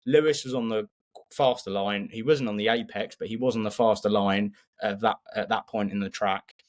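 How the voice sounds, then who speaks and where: A man with a fairly low voice talks sport as a podcast co-host. His delivery is conversational and opinionated, with firm emphasis on his points. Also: fairly even intonation, moderate pace. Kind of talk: casual race analysis.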